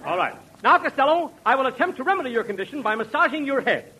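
An actor playing a pompous crackpot professor in 1940s radio comedy, fussy and mock-learned, on an old broadcast. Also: male voice, very high-pitched, very animated, quick pace, sharp tone, breathy edge, heavily acted. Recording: noisy vintage radio broadcast.

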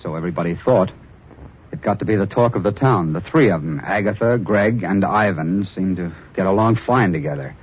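A man's voice: deep voice, fairly quick, slightly rough. A man acting a young, wry police reporter who narrates a 1940s radio drama in a clipped, dramatic way. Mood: wry, factual. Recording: muffled, noisy old radio recording.

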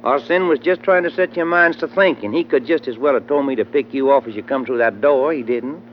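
A man's voice: mid-range voice, brisk, close to an everyday voice. An actor playing a folksy, bossy henchman with an American accent in an old-time radio adventure. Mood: placating, folksy. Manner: folksy rustic phrasing. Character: folksy, drawling male henchman gunman, gruffly bossy. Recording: dull, noisy vintage radio recording.

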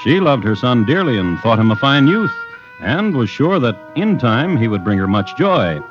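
An American man narrating a 1950s radio crime series as host, with a wry, deliberate storytelling manner. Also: mid-range voice, big pitch swings, over music. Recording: muffled vintage radio recording. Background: prominent musical accompaniment.

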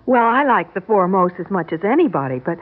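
A woman's voice: mid-range voice, sing-song, fairly quick. An actress playing a chatty, easily exasperated young New York woman in a radio drama, baffled and sarcastic in a conversational way. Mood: musing. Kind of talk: 1960s radio drama acting. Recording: muffled narrow-band old radio recording.

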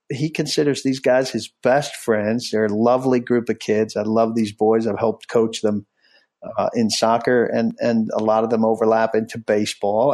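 A man's voice: mid-range voice, darkish tone. Blunt, rambling middle-aged male podcast host. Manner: blunt, rambling, with many restarts and fillers. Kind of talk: casual podcast chat.

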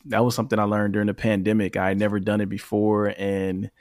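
A man's voice: fairly low pitch, fast talker, dry recording, clean studio sound. Laid-back man hosting a podcast. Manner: relaxed, leans on "you know" fillers. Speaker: podcast host. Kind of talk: casual interview chat. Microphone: remote call.

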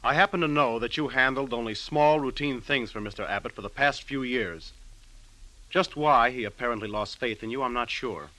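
An actor playing a stern, blunt young suitor and prosecutor in an old radio drama, firm and clipped. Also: male voice, medium pitch, normal speed, pushed and bright, dry recording, natural voice, rough recording. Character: stern, blunt male assistant district attorney in love. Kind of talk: old-time radio acting.

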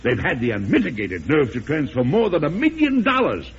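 An actor playing a gruff, hot-tempered old male president in vintage radio drama style, emphatic, hearty and oratorical. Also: a little high, sing-song, fairly quick, full character voice. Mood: indignant. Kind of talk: 1940s-50s radio drama acting. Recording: dull vintage radio recording.

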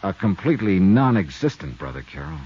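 A shrewd insurance investigator from a 1950s radio serial. His voice sits low and moves with lively inflection. He is brisk and confident, caught on a dull old recording. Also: breathy edge, exaggerated voice. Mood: matter-of-fact. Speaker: lead actor in a detective radio serial. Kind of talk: 1950s radio drama acting.

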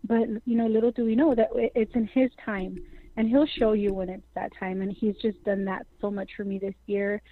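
A woman's voice: medium pitch, fairly even intonation, moderate pace, narrow, tinny audio. A woman in her forties, a singer joining an interview over the phone, talking effusively and peppering her sentences with "you know". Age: 40s. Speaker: singer, guest. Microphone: phone line.

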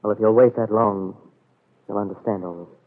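An actor with an American accent playing an earnest, hesitant young soldier in an old radio soap opera, on a muffled vintage recording. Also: male voice, lowish voice, steady tone, normal speed, natural voice. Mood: earnest pleading. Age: young adult. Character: earnest young soldier pleading with the girl he loves.

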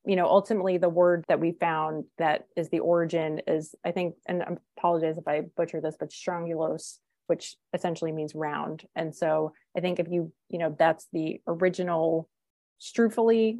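A woman's voice: on the low side, little pitch movement, normal speed, darkish tone, no echo. A woman food writer who is a podcast guest, explaining carefully and hedging often.

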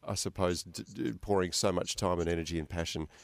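A man's voice: deep voice, fast, creaky. A man hosting an interview, asking questions in a conversational way with frequent restarts and fillers. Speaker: radio interview host.

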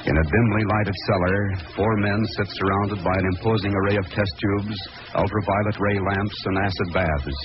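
Low-voiced radio drama narrator. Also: male voice, fairly even intonation, moderate pace, hardly any pauses. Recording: muffled old radio recording. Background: music.

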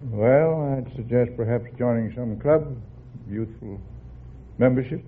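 A man's voice: mid-range voice, measured pace. An elderly man answering in a folksy, agreeable way as a contestant on a 1950s radio quiz. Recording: tinny old radio recording, hiss.

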